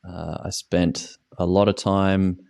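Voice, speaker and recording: A man's voice: deep voice, little pitch movement, takes it slowly, vocal fry, darkish tone. An Australian man, an online ski coach, answering interview questions in a casual, rambling way with many restarts and fillers.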